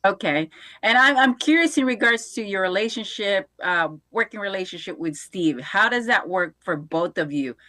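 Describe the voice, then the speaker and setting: A woman's voice: mid-range voice, lively intonation, sharp tone, no echo. Curious woman host, dry close sound. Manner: curious, halting questions with many "uh". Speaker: show host. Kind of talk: interview questions.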